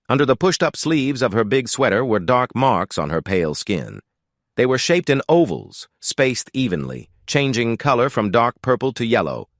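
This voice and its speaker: A man's voice: lowish voice, dry recording, studio quality. A man reading a scripted story as its narrator.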